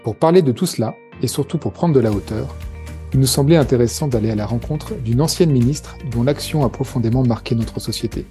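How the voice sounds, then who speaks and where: A man's voice: medium pitch, brisk, warm tone, faint music behind. A man hosting a podcast, reading a formal scripted introduction. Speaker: podcast host.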